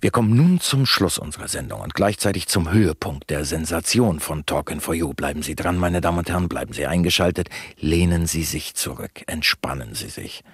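Unctuous, low, husky talk show host. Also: male voice, fairly quick, few pauses, no room sound, clean studio sound, heavily acted. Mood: sensational, seductive. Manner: unctuous, theatrically solemn, flattering. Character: slick, unctuous male talk show host, mock-sincere, sensationalist. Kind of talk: satirical radio play acting as broadcast presenter.

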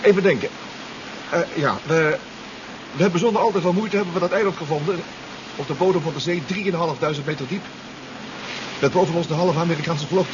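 A worn-out, rattled submarine pilot, played by a man, speaks haltingly over a loud engine drone. His voice sits fairly high, and he stumbles and repeats himself. It is a muffled 1970s radio play recording. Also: noisy background, close to an everyday voice. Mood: shaken, apologetic. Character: distraught, exhausted submarine pilot reporting by radio. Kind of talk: 1970s radio drama acting.

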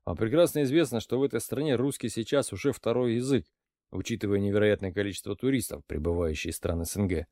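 A man reading an audiobook, voicing a shrewd, calm older intelligence officer who speaks in a dry, ironic, lecturing way. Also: on the low side, fast, dry recording, close to an everyday voice. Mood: wry.

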